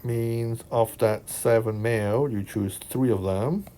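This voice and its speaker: A man from Hong Kong with a Hong Kong accent, talking through a maths exam solution as a tutor, unhurried and thinking aloud, checking in with his listeners. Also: medium pitch, dark tone, a little hiss or hum. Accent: Hong Kong Cantonese. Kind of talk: explaining a worked exam solution over screen recording. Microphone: voice-over on screen recording.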